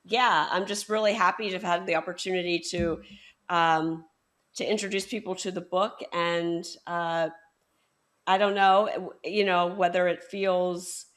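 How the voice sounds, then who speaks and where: A woman's voice: lowish voice, steady tone, a little slow, a bit bright. A woman hosting a book interview, giving short friendly replies and a closing thank-you. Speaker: podcast host and bookseller. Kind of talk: casual interview chat.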